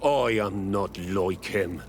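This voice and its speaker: An actor playing a proud, hostile island village chief, gruff and disdainful, in a video game. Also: male voice, deep voice. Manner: gruff, slow, deliberate, disdainful. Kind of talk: video game dialogue acting. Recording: clear ambient noise behind the voice.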